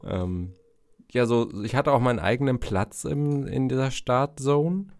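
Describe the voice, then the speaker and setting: A man's voice: medium pitch, unhurried, dry recording, studio quality. A man in his forties with a cold, chatting casually and rambling as a podcast host, laughing at himself. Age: 40s. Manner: rambling, self-mocking, laughs often. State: has a cold, coughing. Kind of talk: casual chat.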